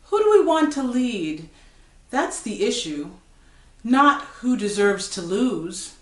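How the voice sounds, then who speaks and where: A woman gives a dramatic poetry recital in an echoey room. Her delivery is slow and deliberate, with lively swings of pitch and emphatic pauses that set off key words. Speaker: poetry reader. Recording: roomy, echoing recitation.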